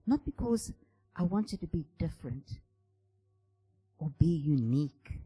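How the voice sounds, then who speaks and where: Soft-spoken man, dark husky voice. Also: on the high side, very animated, measured pace. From South Africa. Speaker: composer and bass player speaking at a conference. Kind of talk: personal story told in a conference talk.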